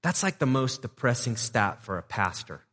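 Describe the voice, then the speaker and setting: A man preaches in an easygoing, conversational way, tossing playful asides to the people in front of him. His voice sits at an ordinary middle pitch. The sound carries a long reverb from a large church hall. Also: sing-song, moderate pace, fairly bright tone. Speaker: pastor. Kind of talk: sermon. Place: church sanctuary.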